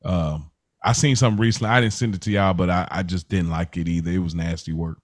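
A man's voice: low-pitched, fairly even intonation, quick pace. Casual, slangy man hosting a podcast. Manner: informal, slangy. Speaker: podcast host. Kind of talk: casual chat reviewing a TV series.

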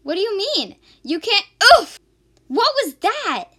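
Woman playing a sassy, swooping, high-voiced young sceptic. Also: very animated, a little slow, fairly bright tone, full character voice. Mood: alarmed, indignant. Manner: sarcastic, indignant. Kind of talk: amateur radio drama acting.

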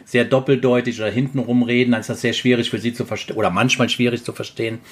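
A man's voice: mid-range voice, fairly even intonation, fast talker. A man talking casually as a guest, explaining things at length and often chuckling. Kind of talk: casual conversation.

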